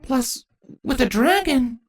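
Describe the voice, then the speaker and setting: A woman's voice: high-pitched, animated, slow, voice pushed up, heavily acted. A woman voicing an earnest young changeling princess in a fantasy story, anxious and urgent. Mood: worried, urgent.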